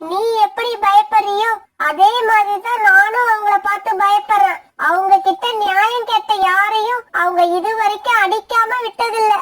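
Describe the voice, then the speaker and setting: A tiny, timid female bird voiced by a woman with an extremely high, childlike pitch. She speaks cautiously and resignedly, as a fearful character in a children's cartoon story. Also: fairly even intonation, normal speed, background noise. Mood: worried. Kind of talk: animated moral story dialogue.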